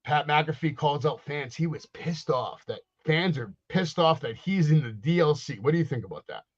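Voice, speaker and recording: A man's voice: a little high, lively intonation, normal speed, muffled recording. Ranting man in his forties, podcast host. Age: 40s. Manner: rants loudly, mock wrestler catchphrases. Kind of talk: casual wrestling podcast banter.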